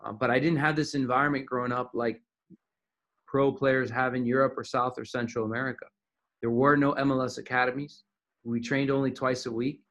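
A man's voice: medium pitch, level tone, fairly quick, fairly bright tone. A man from Miami, a soccer coach, answering interview questions thoughtfully with frequent restarts and fillers. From Miami, Florida.

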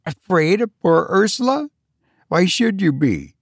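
A harsh, miserly old woman, voiced by a man reading aloud, speaks in a grim, suspicious tone. The voice sits well above a man's usual pitch, with a gravelly grain. The delivery is slow and the sound is dry and close. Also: no echo. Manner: grim, sharp, exasperated.

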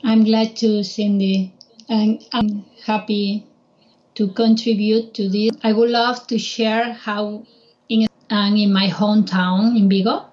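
A woman's voice: mid-range voice, flat delivery, takes it slowly. A woman from Spain, speaking English with a Spanish accent as a podcast guest, reminiscing and stumbling a little as she talks. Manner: warm, reminiscing, restarts and stumbles. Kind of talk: casual remote interview. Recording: dull lo-fi remote audio.

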